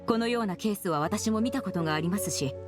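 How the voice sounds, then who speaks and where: A woman playing a composed, polite young investigator in a video game, formal, measured and analytical, used to giving orders. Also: mid-range voice, over music, close to an everyday voice. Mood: analytical, grave. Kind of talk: Japanese game voice acting.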